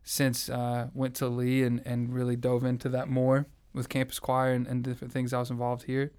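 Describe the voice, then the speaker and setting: A man's voice: medium pitch, monotone, normal speed, a little mellow, clean tone. A young man from the South, earnestly and reflectively telling his faith story in an interview. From American South. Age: young adult. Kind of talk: personal testimony in conversation.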